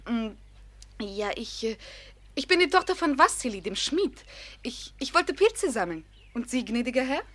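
An actress playing a pert young gentlewoman who poses as a shy peasant girl in a German radio play. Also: fairly high pitch, very animated, moderate pace. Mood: pert, teasing. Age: young adult. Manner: coy, teasing, hesitant when fibbing.

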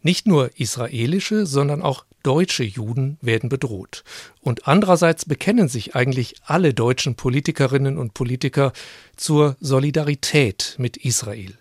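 A man's voice: mid-range voice, normal speed, husky, no echo, studio quality. A man from Germany, co-hosting a radio feature with scripted narration and interview questions. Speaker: co-host of a radio programme.

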